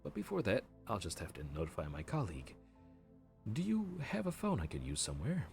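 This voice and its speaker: Man voicing a grim reaper, low voice, very lively pitch, chatty, bantering, flustered, audio roleplay. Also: brisk, creaky, music in the background, exaggerated voice. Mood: bemused. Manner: chatty, bantering and a bit awkward. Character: friendly, flustered male grim reaper supervisor. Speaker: voice actor.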